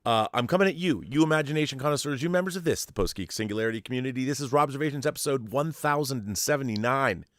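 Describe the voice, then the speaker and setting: A man's voice: medium pitch, lively intonation, rapid-fire, hardly any pauses, a bit bright, dry recording. Theatrical man hosting, close dry sound. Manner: theatrical, grandiose, playful. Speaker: host of a pop culture show. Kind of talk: showy scripted-sounding intro and casual fan discussion.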